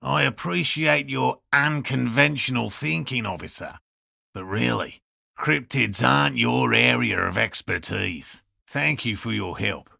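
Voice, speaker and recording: A man voicing an earnest, eccentric cryptozoologist in a video game, with a raspy voice and precise, courteous, scholarly phrasing. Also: medium pitch, measured pace, bright, forceful tone, no echo, clean studio sound, heavily acted. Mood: polite, measured. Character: earnest eccentric male cryptozoologist scientist, obsessive but courteous. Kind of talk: video game dialogue voice lines.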